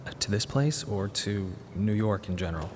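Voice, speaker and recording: A man's voice: lowish voice, expressive, natural voice. An actor playing a grieving, restless young father, earnest, awkward and reflective in a radio drama. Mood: wistful, awkward. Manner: halting, reflective. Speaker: narrator and protagonist. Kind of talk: radio drama narration and dialogue. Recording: reverberant hall, faint background noise.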